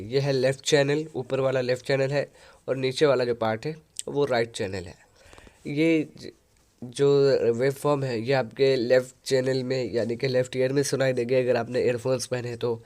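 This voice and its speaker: A man hosting a software tutorial, explaining an audio editor step by step into a headset mic. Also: mid-range voice. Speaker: tutorial host.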